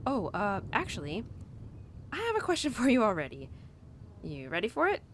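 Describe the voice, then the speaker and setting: A woman's voice: mid-range voice, wildly swooping pitch, normal speed, slight background noise. A woman playing a young, animal-loving cowgirl who confides tenderly in her horse in an intimate, casual, rambling audio roleplay. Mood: relieved, playful. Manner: casual, rambling, sighing and giggly.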